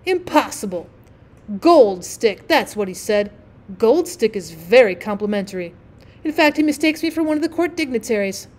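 A woman's voice: on the high side, sing-song, normal speed, a little mellow. A woman voicing a vain male firework rocket in a storybook, with a haughty, boastful, declamatory manner. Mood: self-satisfied.

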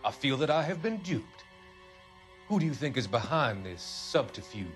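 An actor playing a smooth, middle-aged doctor with a formal, measured, condescending manner, quietly sinister, in a crime game. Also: male voice, mid-range voice, big pitch swings, faint music behind, close to an everyday voice. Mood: evasive. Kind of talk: dramatic period crime game acting.

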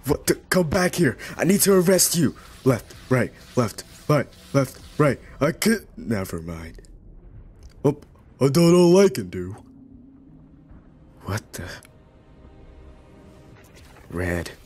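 A man improvising a comedic fan voice-over for a cartoon in a deadpan, goofy manner. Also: medium pitch, slow pace, long pauses, husky, soft background music. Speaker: amateur fan dubber.

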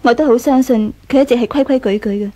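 Woman acting a high, mellow, gentle young wife. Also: fast talker, lightly acted. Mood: trusting, doubtful. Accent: Hong Kong Cantonese. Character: gentle, trusting young wife of a rich man. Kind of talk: 1980s Cantonese radio drama acting.

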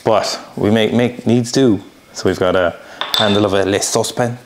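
A man's voice: fairly low pitch, fairly even intonation, moderate pace, noisy background. An Irish man in his thirties explaining how to make dumplings in a home kitchen, laid-back and dry, recorded by a camera a few feet away. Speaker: cook demonstrating a recipe. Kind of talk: casual cooking demo chat.

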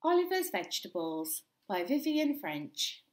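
A young woman reading a children's picture book aloud in an expressive, deliberate storytelling manner. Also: medium pitch, roomy sound. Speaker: primary school story time reader. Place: small indoor room with bare walls.

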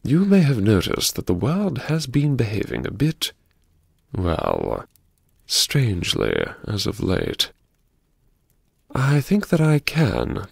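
A genteel gentleman diarist, acted by a man for a dramatic audiobook, confessing with wry, mannered politeness. His low voice is creaky and husky. He hesitates formally, with wide swoops in intonation. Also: dry recording, clean studio sound, heavily acted. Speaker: audiobook narrator. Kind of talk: first-person dramatic audiobook narration.

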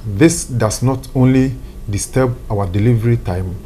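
Mellow-voiced Ghanaian man with faint background noise. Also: mid-range voice, a little slow. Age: middle-aged. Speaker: fire service public relations director, interviewee. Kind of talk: formal interview statement. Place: office room indoors.

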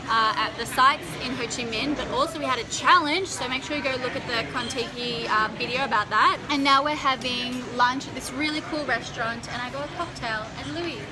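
A woman's voice: sits high, fairly quick, few pauses. A young woman in her twenties chatting bubbly and casually into a phone held at arm's length, laughing often while out at night. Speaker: vlogger. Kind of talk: casual travel vlog. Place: busy outdoor restaurants and streets at night. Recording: loud crowd around the phone mic. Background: crowd chatter.

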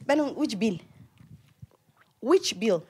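A woman's voice: on the high side, very animated, extremely slow, dark tone, a little hiss or hum. A woman in her early thirties with a South African accent, chatting casually as a guest in an emphatic, exclamatory way. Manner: emphatic, exclamatory, repeats phrases. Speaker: podcast guest. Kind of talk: casual chat. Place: indoor lounge, on a sofa. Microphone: handheld microphone.

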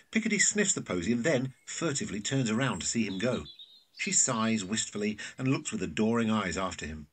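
A man's voice: fairly low pitch, husky. A man reading scripted stage directions as narrator of an audio drama.

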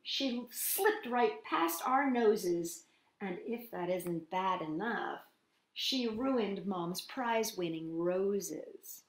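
A woman's voice: mid-range voice, lively intonation, extremely slow, roomy sound, poor audio. A woman hosting storytime for young children, reading a picture book expressively and addressing the children directly with questions. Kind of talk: picture-book read-aloud for young children with asides and sing-song greetings.

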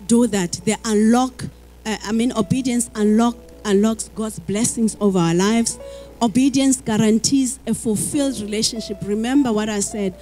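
A woman's voice: mid-range voice, mellow. A middle-aged woman preaching a sermon into a handheld mic on a church hall stage, earnest and repeating words as she searches for phrasing.

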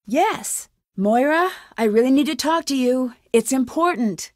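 A woman voicing a young amateur detective in a video game, inquisitive and determined, with a clear, earnest, questioning delivery. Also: high voice, expressive, measured pace, no echo, studio quality. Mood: probing, insistent. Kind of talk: scripted game dialogue, isolated lines.

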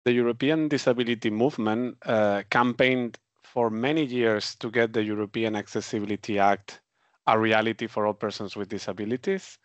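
A man from Spain giving a deliberate prepared statement about policy into a headset. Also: lowish voice, no room sound. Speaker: policy director.

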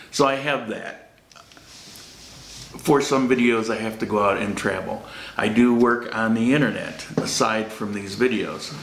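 A man's voice: on the low side, fairly bright tone. A middle-aged man hosting a casual question-and-answer vlog, joking and laughing often. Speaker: vlog host. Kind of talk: casual Q&A chat. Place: small warm-lit room indoors. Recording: roomy echo.

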